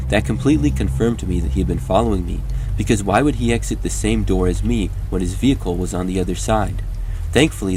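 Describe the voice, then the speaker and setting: Deep-voiced man narrating horror stories. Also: a little mellow, slight background noise. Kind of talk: scripted horror story narration.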